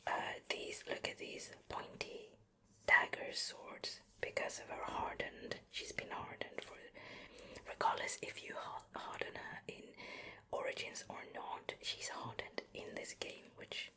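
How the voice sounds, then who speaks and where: A native Swedish-speaking woman whispering a soft, breathy ASMR show and tell in a casual, rambling, hesitant way. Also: a little slow. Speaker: ASMR video host.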